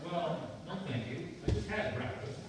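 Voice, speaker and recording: A man's voice: on the high side, lively intonation, moderate pace, close to an everyday voice. Man playing a wry husband, noisy echoey recording. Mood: polite, evasive. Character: exasperated, deadpan husband posing as his wife, narrating. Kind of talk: old-time radio comedy acting. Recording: noisy echoey hall from far off.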